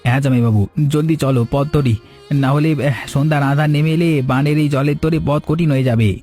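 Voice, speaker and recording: Man voicing an urgent, quick-talking young village lad. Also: on the high side, fairly even intonation, dark tone, faint music behind, lightly acted. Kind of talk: Bengali cartoon story dialogue.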